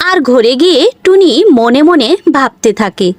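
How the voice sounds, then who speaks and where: A woman's voice: high-pitched, expressive, normal speed, no echo. A woman narrating a scripted fairy-tale cartoon as a storyteller.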